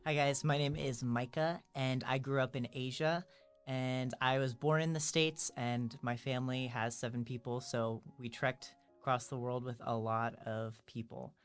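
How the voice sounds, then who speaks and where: A man's voice: medium pitch, steady tone, normal speed, smooth. A man in his twenties, answering interview questions in an easygoing way with filler words. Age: 20s. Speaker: guest.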